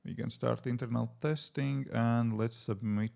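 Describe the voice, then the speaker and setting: Man, latvian accent, gravelly fry, dark mellow tone, casual live-stream chat, thinking aloud. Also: medium pitch, a little slow. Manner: relaxed, thinking aloud with many fillers. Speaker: app developer streaming live. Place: home desk.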